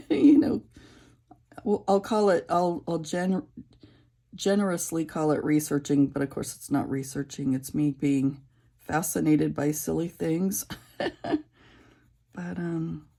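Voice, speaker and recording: A middle-aged woman with a low, raspy voice and a gravelly edge talks while coloring. Her tone is dark and mellow. She rambles casually, with plenty of fillers and restarts. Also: slow, pauses often. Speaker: coloring channel creator.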